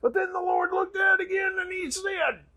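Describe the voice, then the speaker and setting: A man playing a folksy, grandiose backwoods preacher telling a mock sermon in a raspy, gravelly voice with dramatic pauses. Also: very high-pitched, sharp tone, shouting, full character voice. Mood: booming, exalted. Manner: drawn-out, folksy, dramatic pauses and sudden swells.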